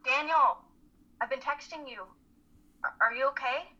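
A woman's voice: high-pitched, moderate pace, pushed and bright. A young woman playing a caring, worried and insistent college student in a dramatic play reading. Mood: gentle concern. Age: young adult. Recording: muffled, telephone-like remote call audio.

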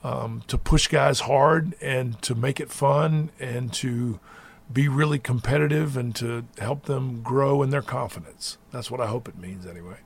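A middle-aged man, a college basketball head coach, answering interview questions in a thoughtful, measured way with frequent restarts. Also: mid-range voice, creaky, slightly rough.

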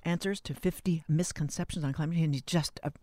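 A radio host interviewing a guest live and unscripted, with halting, circling phrasing. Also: mid-range voice, fairly even intonation, darkish tone, no room sound.

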